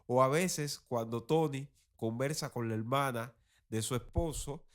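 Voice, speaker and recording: A man with a mid-pitched voice hosts a commentary show. He talks colloquially and critically, like a teacher sharing opinions. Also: a little slow. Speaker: podcast host and teacher.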